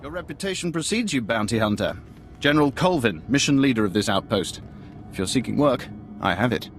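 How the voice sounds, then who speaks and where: An actor playing a proud, businesslike imperial general briefing someone in a video game, in a formal, measured manner. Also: male voice, mid-range voice, lively intonation, soft background music, natural voice. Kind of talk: video game cutscene acting.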